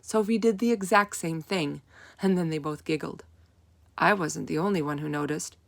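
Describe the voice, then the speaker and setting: A woman reading a children's storybook aloud as narrator, with expressive, deliberate emphasis. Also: low-pitched, creaky.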